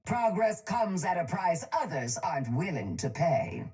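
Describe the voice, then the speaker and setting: A woman's voice: lowish voice, sing-song, unhurried, dark tone. An actress voicing a ruthless, haughty chem baroness for a video game, cold and commanding, her voice filtered through a breathing mask. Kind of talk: video game character voice lines. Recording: music playing behind the voice.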